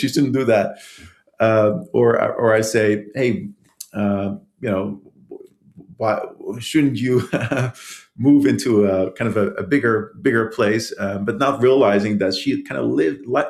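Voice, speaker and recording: A man from the Netherlands, a guest author, answering interview questions in a hesitant way with many restarts and small laughs. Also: fairly low pitch. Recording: roomy echo.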